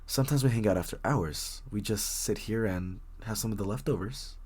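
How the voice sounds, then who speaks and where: A man playing a very shy, friendly young writer in an intimate coffee shop roleplay, speaking hesitantly with nervous stammers and a husky voice. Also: lowish voice, a little fast, a little mellow, a little hiss or hum, lightly acted. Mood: warming up, friendly. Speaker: audio roleplay voice actor. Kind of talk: intimate one-sided roleplay acting, casual chat.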